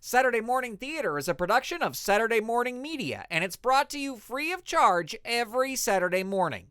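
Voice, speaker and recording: A man's voice: extremely high pitch, sing-song, fairly quick, a bit bright, clear, resonant tone, dry recording, studio quality. A man narrating and announcing in a scripted, theatrical radio-serial style. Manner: theatrical, presentational.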